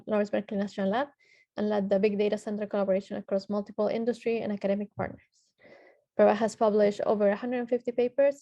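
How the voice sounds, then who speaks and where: Woman, from Ecuador, panel moderator, halting delivery, monotone, warm tone. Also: medium pitch. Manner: hesitant, many filler sounds and restarts. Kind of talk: reading panelist introductions.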